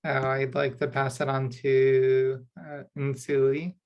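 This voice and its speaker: A woman's voice: a bass voice, level tone, takes it slowly, dull audio. A young woman, a design student, introducing herself on a video call in a rambling, self-correcting way.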